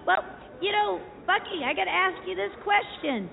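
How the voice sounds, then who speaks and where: Squeaky, creaky, giddy Betty. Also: female voice, normal speed. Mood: giddy joking. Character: Betty, nervous, giddy, naive female amateur stand-up comic. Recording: thin phone-like band, faint noise.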